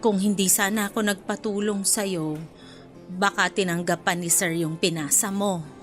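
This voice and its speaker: A woman playing a kindly, apologetic older student in a radio drama, speaking in a gentle, motherly and patient way. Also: medium pitch, steady tone, moderate pace, natural voice. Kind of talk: radio drama acting in Filipino. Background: music bed.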